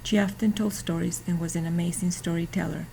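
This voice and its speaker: Low creaky-voiced woman reading aloud. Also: steady tone, dark tone, a little hiss or hum, rough recording. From Mexico. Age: 50s. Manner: careful, deliberate reading with some restarts. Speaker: student. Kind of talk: reading a prepared presentation.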